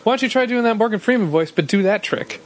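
A man's voice: high voice, lively intonation, quick pace. A man co-hosting a podcast in casual chat with friends, eagerly repeating himself as he goes. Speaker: podcast co-host.

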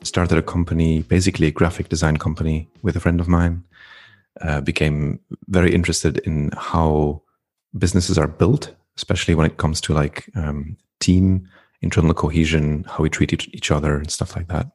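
A middle-aged man from Flanders, speaking as a podcast guest in a reflective, unhurried way with frequent ums. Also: a bass voice, flat delivery, a little mellow. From Belgium (Flanders). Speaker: guest, entrepreneur and coach. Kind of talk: podcast interview conversation.

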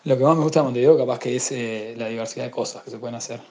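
A man's voice: mid-range voice, rapid-fire, a little mellow. A man from Uruguay's interior with a Uruguayan accent, giving a matter-of-fact account of moving to the capital. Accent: Uruguayan Spanish.

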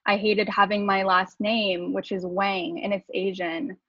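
A woman's voice: mid-range voice, little pitch movement, a little slow, a bit bright, no echo, highs cut off. A woman from Southern California who grew up speaking Mandarin and English, co-hosting a podcast in a candid, reflective way and laughing often. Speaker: podcast co-host. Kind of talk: casual conversation.

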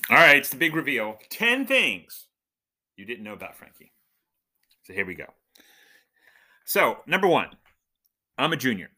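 A middle-aged man hosting a casual podcast, chatting and telling stories with animated, emphatic build-ups. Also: medium pitch, unhurried, long pauses. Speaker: podcast host.

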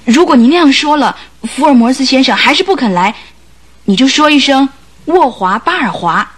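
An actress in a radio drama playing a troubled, secretive wife of a lion tamer, plaintive and full of sighs. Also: female voice, high-pitched, animated, moderate pace. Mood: pleading, secretive. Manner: plaintive, sighing.

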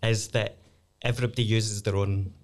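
A man's voice: on the low side, flat delivery, moderate pace. A man from Glasgow with a Glaswegian accent, a lawyer guest explaining things in casual chat. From Glasgow, Scotland.

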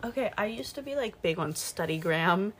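A woman's voice: medium pitch, expressive, normal speed, a bit bright. A young woman chatting casually as a co-host in an informal, slangy way. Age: young adult. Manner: informal, slangy, mild swearing. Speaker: podcast co-host. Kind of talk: casual chat.

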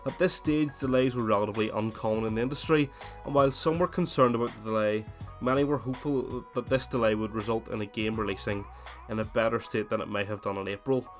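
Lower-voiced man podcasting with a cold. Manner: chatty and self-deprecating, then steady read narration. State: has a cold, voice slightly worn. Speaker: podcast host. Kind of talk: reading a scripted gaming essay podcast.

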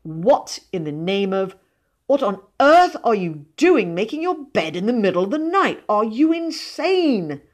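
A woman's voice: medium pitch, big pitch swings, unhurried, pitched above the performer's own voice. A woman voicing an angry, blustering middle-aged father in a storybook, thundering with indignation. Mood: thundering anger.

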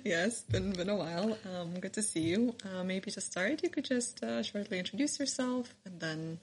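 A woman hosting an interview podcast at an ordinary mid pitch. She opens the show and asks questions, then listens with short encouraging replies. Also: normal speed, slight background noise. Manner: warm listener, many short backchannels. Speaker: podcast host.

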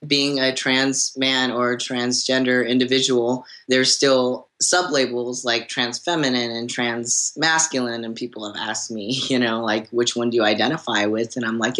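A man in a relaxed podcast conversation. His voice sits at a medium pitch for a man, and he speaks haltingly. He fills his sentences with ums and uhs and breaks off into restarts. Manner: hesitant, many fillers and restarts, laughs softly. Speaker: panel guest, suit designer. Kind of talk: casual podcast panel talk.

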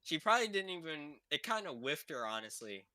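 A man's voice: on the high side, big pitch swings, a little fast, sharp tone, no echo. A man co-hosting a casual podcast, reacting to clips with loose, restarting talk and laughter. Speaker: podcast co-host. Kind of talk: casual chat.

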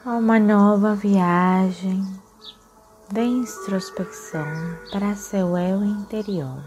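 A woman leading a guided meditation in a soothing, unhurried manner, with long pauses between phrases. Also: mid-range voice, a little mellow. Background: music.

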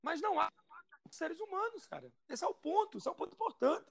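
A man's voice: very high-pitched, big pitch swings, a little fast, gravelly, a crowd in the background. A man with a cold, co-hosting a casual joking chat in a teasing, playful way. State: has a cold. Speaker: co-host.

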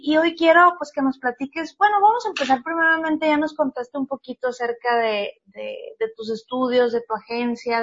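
Northern mexican woman in her 30s hosting a podcast, high voice, dull lo-fi sound. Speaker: podcast host. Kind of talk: casual interview.

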